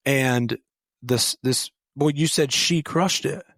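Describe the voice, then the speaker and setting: A man's voice: mid-range voice, slow pace, fairly bright tone, dry recording. A man in his late thirties co-hosting a podcast, easygoing and full of fillers in casual chat. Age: 30s. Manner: easygoing, filler-heavy, laughs along. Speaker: podcast co-host. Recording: dry close sound.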